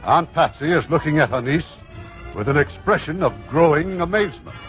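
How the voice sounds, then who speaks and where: A man's voice: fairly high pitch, animated, normal speed, fairly bright tone. A man hosting an old radio theater, setting scenes in a polished, theatrical scripted narration. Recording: muffled old radio recording, music behind.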